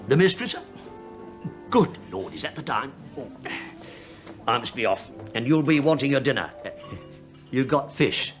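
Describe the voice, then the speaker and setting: An actor playing a sly, penny-pinching British police inspector in a radio play, wry and mock-deferential, feigning absent-mindedness. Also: male voice, medium pitch, expressive, moderate pace, pauses often, soft background music, close to an everyday voice. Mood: rambling, crafty.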